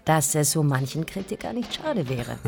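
Deep-voiced, direct young publisher. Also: female voice, big pitch swings, moderate pace, gravelly, dark tone, faint music behind, heavily acted. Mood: direct, pensive. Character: young, candid female publisher and editor-in-chief. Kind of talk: German radio drama dialogue.

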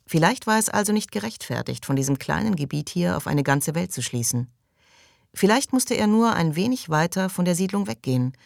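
A woman's voice: low voice, vocal fry, no echo, studio quality. A woman reading scripted literary narration as an audiobook narrator. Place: close, dry recording.

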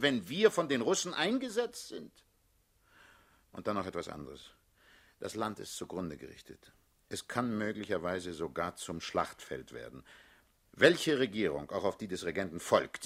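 A man's voice: on the low side, a bit bright, slightly rough, full character voice. A male actor playing a hard-headed older revolutionary leader in a radio drama, lecturing and condescending. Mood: grave, insistent. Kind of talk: theatrical radio drama acting.

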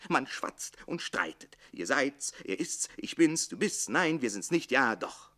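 A man playing a witty, self-pitying barber-servant in a radio drama, declaiming theatrically between exuberance and hushed lament. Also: medium pitch, big pitch swings, measured pace. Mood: boastful, animated.

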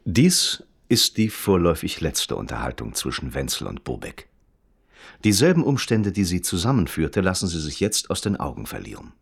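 A male radio play narrator with a very low voice. He is detached and observant, measured and precise, yet his intonation moves widely through the scripted prose. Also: huge pitch swings, creaky, slightly rough, exaggerated voice. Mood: detached, matter-of-fact.

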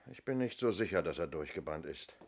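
An actor playing a methodical police inspector in a 1970s radio play, measured and probing, with small hesitant lead-ins to his questions. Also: sits low, expressive. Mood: musing. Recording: noisy old radio play recording.

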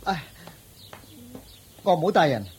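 An actor playing a poor, hapless husband in a radio drama, meek, plaintive and stammering in Hong Kong Cantonese. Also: male voice, fairly high pitch, sing-song, slow, slight background noise.

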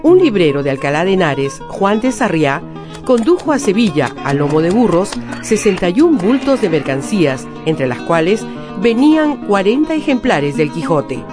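A woman presents scripted, informative radio narration. Her slightly low voice has lively intonation, with guitar music clearly playing behind. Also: normal speed.

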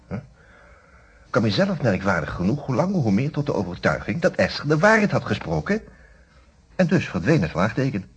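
An actor playing a reflective lawyer who narrates a friend's detective case in a radio play, in a musing, conversational storytelling way. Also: male voice, fairly low pitch, husky, exaggerated voice. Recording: dull, fuzzy old radio recording.

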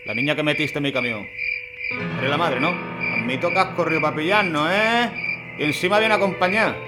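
A man's voice: fairly high pitch, brisk, over loud music, lightly acted. A man playing a stubborn, mercenary, hot-tempered truck driver in an audio drama, blustering and colloquial. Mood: suspicious. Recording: noisy night ambience with insects.

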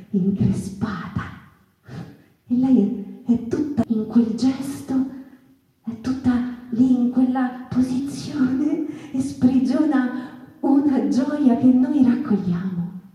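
Wistful female stage storyteller, mellow tone, halting and impassioned, recorded from off in an echoey room. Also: fairly high pitch. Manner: halting, repetitive, rhetorical questions to listeners. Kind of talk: theatrical monologue, dramatic storytelling. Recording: echoey room, distant microphone.

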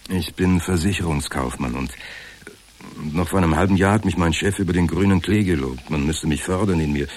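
Very deep, husky, insecure clerk. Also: male voice. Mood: dejected, anxious. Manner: halting, hesitant, self-pitying. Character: insecure, downtrodden male insurance clerk, anxious and hesitant. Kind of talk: naturalistic radio drama acting.

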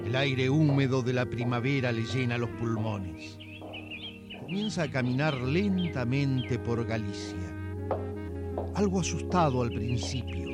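A man narrating a radio serial in a dramatic scripted style. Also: medium pitch. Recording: music behind.

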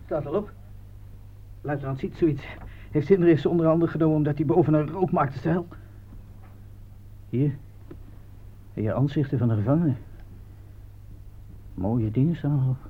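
A naive young soldier in a radio play. His voice is fairly high for a man, on a muffled old recording without much treble. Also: moderate pace, pauses often, husky. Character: naive young male soldier, teased by comrades. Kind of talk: Dutch radio drama acting.

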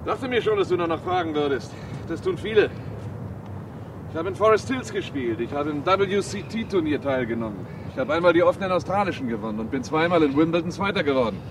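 A man's voice: a little high, animated, brisk. An actor playing a blunt, self-assured male tennis champion in a radio play. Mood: calm, frank. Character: confident, blunt male tennis champion, easygoing park player. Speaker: tennis player. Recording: distant, noisy outdoor sound.

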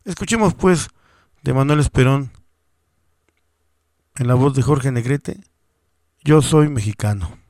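Male radio host, mid-pitched voice, dry close sound, calm song introductions. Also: slow, pauses often, no room sound. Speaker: radio music program host. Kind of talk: spoken introduction between songs.

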